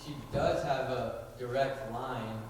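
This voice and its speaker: A man's voice: medium pitch, fairly even intonation, close to an everyday voice. A young man acting a tongue-tied, obliging court lad in an amateur stage comedy, hesitant in his delivery. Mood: awkwardly persuasive. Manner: hesitant, slow. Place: high school play on a stage. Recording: echoey hall from far off, faint noise.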